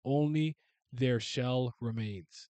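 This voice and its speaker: A haughty male god of old speaks in slow, lofty archaic phrases, a little higher and louder than an everyday voice, as a man reading a comic puts him on. The sound is dry and close. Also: no echo, natural voice. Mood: solemn. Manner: archaic, grand, slow, declaiming. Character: proud quarrelling male god brothers.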